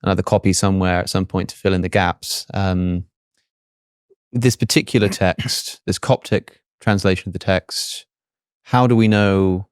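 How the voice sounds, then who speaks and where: A man's voice: low-pitched, fairly even intonation, moderate pace, clear, resonant tone, dry recording, clean studio sound. A young man with an English accent, hosting an interview and asking thoughtful, slightly hesitant questions. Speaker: podcast host.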